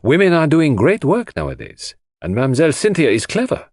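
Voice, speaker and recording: A man acts out a small, secretive detective in an audiobook mystery. He is polite and inquisitive, with a nonchalant manner and very lively, often raised pitch. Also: a little fast, no echo, studio quality, heavily acted. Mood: politely curious.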